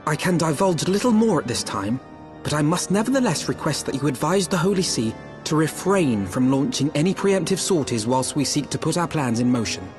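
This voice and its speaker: An actor playing an earnest, courteous young diplomat in a fantasy game, with polite, eloquent, archaic speech. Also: male voice, fairly high pitch, very animated, a little fast, darkish tone, faint music behind. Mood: formal, pleading. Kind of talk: scripted fantasy game dialogue, archaic formal English.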